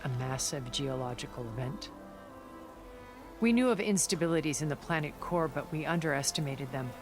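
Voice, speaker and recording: Low-voiced, worldly, regretful schemer played by an actress. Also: female voice, over music, lightly acted. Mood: grave regret. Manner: measured, articulate, theatrical. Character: worldly, regretful, scheming middle-aged woman of a far-future elite. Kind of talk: dramatic video game cutscene acting.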